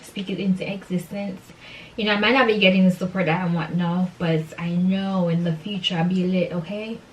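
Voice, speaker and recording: A woman's voice: fairly low pitch, fairly even intonation, brisk, a little hiss or hum. A young adult woman from Jamaica chatting casually and motivationally to her viewers, emphatic and repeating key phrases for stress. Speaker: vlogger addressing subscribers. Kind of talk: casual motivational chat to camera. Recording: distant microphone.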